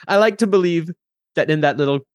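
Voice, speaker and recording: A man chatting as a podcast guest, telling anecdotes in an animated, rambling way. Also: a little high, fast talker, dry recording, studio quality. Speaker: podcast guest and tabletop player. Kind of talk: casual chat, telling gaming anecdotes.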